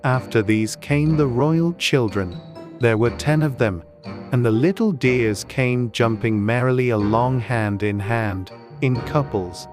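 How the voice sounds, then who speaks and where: A man's voice: mid-range voice, unhurried. A man reading scripted storybook narration as an audiobook narrator. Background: faint music.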